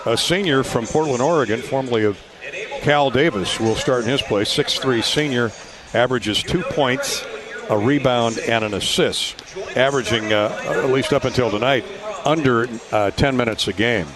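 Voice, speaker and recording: A man working as a radio play-by-play announcer, giving sports commentary in a somewhat halting way. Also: medium pitch, crowd noise.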